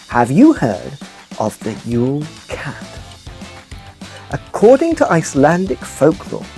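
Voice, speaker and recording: A woman plays an excitable puppet news host in a children's comedy show. The delivery is emphatic and very lively in pitch, yet unhurried. Faint music sits behind the voice. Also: exaggerated voice. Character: excitable, chatty big-snouted puppet news anchor.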